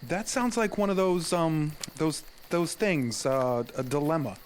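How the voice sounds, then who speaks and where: A man playing a brash but loyal small-town teenage football jock in an audio drama, blunt, slangy and halting. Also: on the high side, animated, a little hiss or hum. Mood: confused, combative. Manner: blunt, slangy, halting over big words. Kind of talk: naturalistic audio drama acting. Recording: faint outdoor night ambience with crickets.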